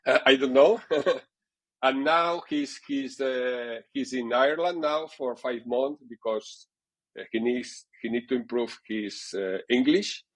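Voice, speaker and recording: A middle-aged man from Catalonia with a Catalan Spanish accent, speaking English as a second language and answering interview questions haltingly, with many fillers and repeated words. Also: medium pitch. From Catalonia, Spain. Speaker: TV sports director, guest.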